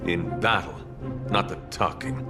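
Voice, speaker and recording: A young, stoic warrior in a game cutscene. The voice is very deep and unhurried, terse and formal in delivery. Loud music plays behind it. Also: male voice. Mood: dry. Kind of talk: video game cutscene acting.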